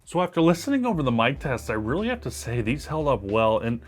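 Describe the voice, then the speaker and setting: A man's voice: medium pitch, sing-song, brisk. A man with a powerful voice giving an upbeat, emphatic product review to camera. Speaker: tech reviewer.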